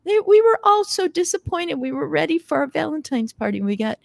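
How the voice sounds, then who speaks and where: Woman host, playful and exclamatory, higher voice, pretty dry sound, chatting on a call. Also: sing-song, a little fast, creaky, no room sound. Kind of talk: unscripted group chat.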